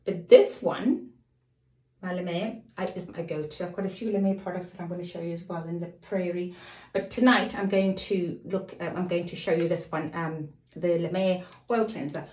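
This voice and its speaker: A middle-aged woman with a slightly croaky voice, casually chatting to camera as a lifestyle vlogger, rambling off on tangents. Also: on the low side, steady tone, moderate pace, darkish tone. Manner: rambling, digresses and apologizes. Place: home. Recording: echoey room.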